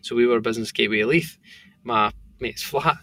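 A man's voice: mid-range voice, normal speed, sharp tone, no echo. A man with a thick Scottish accent, a company founder talking casually as a podcast guest, rambling with lots of fillers. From Scotland. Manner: rambling, filler-heavy storytelling.

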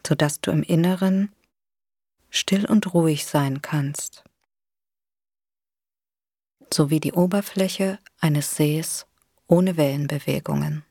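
A woman leading a guided imagery relaxation exercise in a soothing, gentle, unhurried way with long pauses. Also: low-pitched, gravelly, breathy edge, no echo, studio quality. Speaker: guided meditation instructor.

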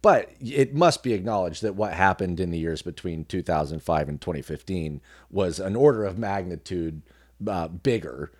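A man speaking as an interview guest, reflecting in a thoughtful, measured way and picking his words haltingly. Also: deep voice, darkish tone, dry recording, clean studio sound. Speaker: guest, actor and comedian.

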